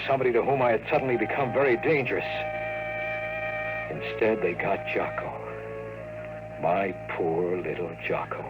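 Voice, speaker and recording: A man's voice: on the low side, close to an everyday voice, rough recording. An American man acting a sardonic, hard-boiled private detective in a 1950s radio drama, delivering flippant lines and noir narration. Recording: vintage radio recording with music.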